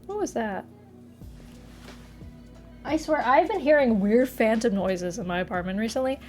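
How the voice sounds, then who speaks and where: A woman's voice: on the high side, lively intonation, moderate pace, a little mellow, soft background music. A young woman from Massachusetts, chatting casually with her live chat from her apartment, giggling and trailing off mid-sentence. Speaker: streamer.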